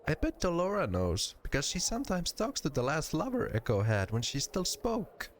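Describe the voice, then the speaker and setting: A man's voice: on the high side, huge pitch swings, moderate pace, slight background noise, pitched above the performer's own voice. A man voicing a tiny, timid, too-nice young woman from a game, with a hesitant, gushing manner. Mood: earnest, chatty. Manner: hesitant, gushing, exaggerated when scolding.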